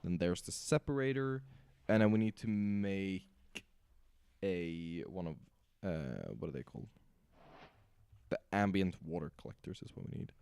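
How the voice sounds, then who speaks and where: A man with a raspy, sore voice, talking himself through building machines while streaming a game. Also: sits low, lively intonation, unhurried, darkish tone, dry recording. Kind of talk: casual gameplay commentary.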